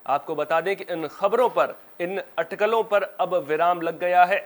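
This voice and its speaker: A man's voice: high voice, measured pace. A man reading a scripted television news bulletin as an anchor, in a formal, presentational manner.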